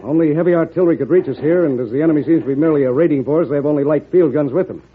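An actor playing a dutiful young army captain in an old radio drama, speaking with polite military deference. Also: male voice, a little high, racing, phone-quality sound, natural voice. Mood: dutiful, tactful. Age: young adult. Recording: thin, hissy old radio recording.

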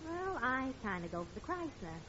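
A woman playing an exasperated, sharp-witted American wife and mother in a 1950s radio sitcom, dry and reproving. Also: high-pitched, sing-song, moderate pace, heavily acted. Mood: wry. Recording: dull old radio recording, faint noise.

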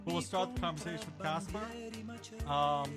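A man hosting a radio show in a casual interview style, speaking informally and haltingly. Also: a little high, fairly even intonation, over loud music.

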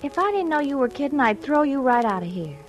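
A woman's voice: high voice, very animated, quick pace. An actress playing a sassy, chatty young working-class girlfriend in a vintage radio drama, with slangy delivery. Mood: affectionate, wondering. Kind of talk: 1950s radio drama acting. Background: music.

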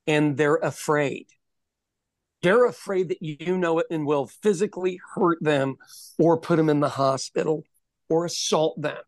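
A middle-aged man hosting a podcast in casual conversation, telling stories with lively energy and acting out the voices of people he quotes. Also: fairly high pitch, no room sound. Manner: animated storyteller, acts out quoted voices. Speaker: podcast host.

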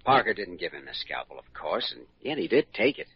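An actor playing an earnest, patient, thoughtful younger hospital doctor in a 1940s-style radio drama. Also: male voice, low-pitched, big pitch swings, a little fast, breathy edge, heavily acted. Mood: puzzled, reflective. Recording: dull, lo-fi vintage radio recording.

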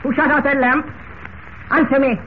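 An actor playing a menacing Egyptian villain with formal, coldly polite speech, in 1940s radio style. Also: male voice, extremely high pitch, fairly even intonation, moderate pace. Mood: angry, alarmed. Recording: tinny, hissy old radio recording.

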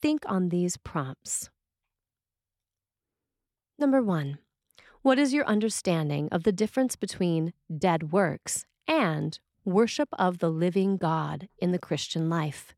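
A woman reading a scripted sermon in a measured, deliberate way. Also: on the low side, expressive, a little mellow, no room sound, studio quality. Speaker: sermon reader.

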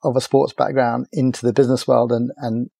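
A middle-aged man from talks through his story in a relaxed, stop-start way, his voice gravelly and mellow. He keeps an even, level tone and is heard close and dry. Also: medium pitch, no room sound. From Ireland. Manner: halting, many restarts and fillers, relaxed. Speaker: podcast guest, agency founder. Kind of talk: casual interview chat.

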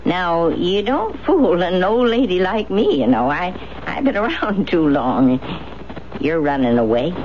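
Feisty, animated old farm woman. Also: on the low side, unhurried. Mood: probing, hesitant. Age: older. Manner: folksy, plain-spoken. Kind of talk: 1970s radio drama acting. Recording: dull, noisy 1970s radio broadcast.

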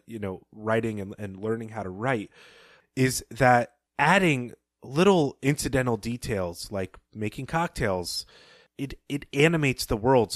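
Low-voiced man recapping a story. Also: no room sound, clean studio sound. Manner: thoughtful, measured retelling with soft laughs. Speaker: podcast co-host. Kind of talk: casual book discussion and plot recap.

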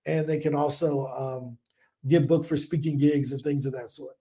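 A man in his forties from the United States, a business owner telling stories on a podcast in an energetic, casual way, acting out his own past thoughts. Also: medium pitch, moderate pace. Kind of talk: casual interview talk. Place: home in Colombia.

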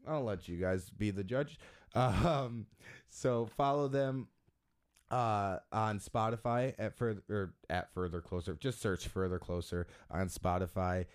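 A man's voice: lowish voice, a little slow, clear, resonant tone. A man hosting a casual podcast interview, joking and rambling with his guest in a self-deprecating way. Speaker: podcast host.

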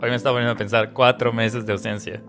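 A man's voice: medium pitch, flat delivery, quick pace, vocal fry, fairly bright tone, soft background music. A man hosting a podcast, chatting casually with guests. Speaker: podcast host.

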